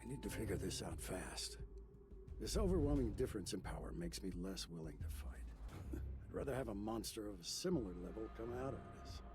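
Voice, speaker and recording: A man acting a seasoned older raid hunter in an English anime game, speaking in a grave, measured way. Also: lowish voice, expressive, noisy background. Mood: stoic, composed.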